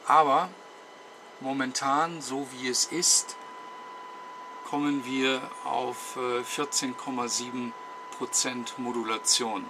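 A man's voice: medium pitch, fairly even intonation, takes it slowly, background noise. A man gives an unscripted technical explanation as a host, methodically and with frequent hesitations. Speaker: host demonstrating electronics repair. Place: indoor electronics workbench.

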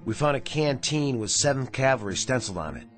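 A man's voice: medium pitch, expressive, brisk, natural voice. A man acting in a radio drama as a serious, uneasy army sergeant, earnest and clipped. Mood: uneasy, insistent. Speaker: actor. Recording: radio drama with music underneath.

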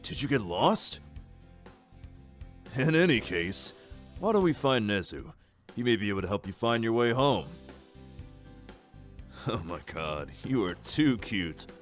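A man voicing a gentle, earnest older hero mentor in a comic dub. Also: on the low side, sing-song, unhurried, long pauses, soft background music. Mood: tender surprise. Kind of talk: fan comic dub acting.

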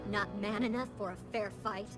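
An actress playing a no-nonsense young soldier in a game, brusque and defiant. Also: female voice, medium pitch, fairly even intonation, a little fast, over loud music, lightly acted. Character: tough, no-nonsense young female special forces soldier.

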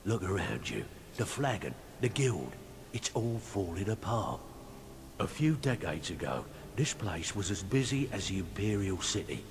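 Shady middle-aged fixer, deep and gruff, fantasy game, shrewd, plain-spoken, noisy background. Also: male voice, big pitch swings, measured pace, exaggerated voice. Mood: frank. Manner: blunt, colloquial, streetwise. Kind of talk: fantasy video game dialogue acting. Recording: noisy game capture with ambience.

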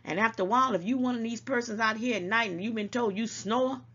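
A woman's voice: fairly high pitch, fast, fairly bright tone, husky, dull audio. An older woman hosting an online talk show, scolding and preaching to her viewers and calling them baby, at times a little congested. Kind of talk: casual commentary to viewers.

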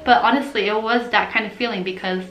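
A young woman from Portugal, talking casually to camera in an animated, chatty vlog style while gesturing with her hands. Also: medium pitch, fast, echoey room, over loud music. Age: young adult. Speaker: vlogger.

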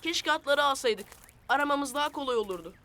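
High-pitched earnest cartoon boy. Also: animated, fairly quick, a bit bright, a little hiss or hum. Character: young boy hero of a nomad camp, earnest and helpful. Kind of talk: animated children's series voice acting.

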